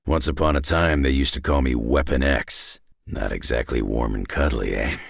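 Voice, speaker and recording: A man voicing a gruff, weary middle-aged superhero brawler for a video game, with a deep raspy growl and terse, dry, folksy tough-guy talk. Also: normal speed, no echo, full character voice. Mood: dry, reflective. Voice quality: deep gravelly rasp.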